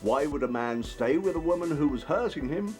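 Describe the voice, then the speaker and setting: A man's voice: fairly high pitch, animated, brisk, a little mellow, lightly acted. Actor doing sceptical male radio host, formal and needling, bit of music underneath. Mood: sceptical, challenging. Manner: challenging, formal. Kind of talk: radio drama acting of a broadcast interview.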